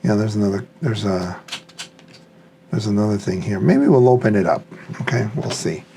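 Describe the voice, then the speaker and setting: A man's voice: fairly low pitch, animated, normal speed, creaky, warm tone, a little hiss or hum. A man thinking aloud in a casual, unscripted, tentative way as he reviews a product. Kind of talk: casual unscripted unboxing commentary.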